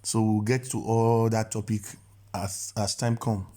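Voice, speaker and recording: A man's voice: lowish voice, level tone, a little slow, warm tone. Man explaining driving rules, often restarting. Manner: repetitive, self-correcting, stammers on restarts. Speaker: driving instructor. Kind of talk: explanatory voice-over lesson.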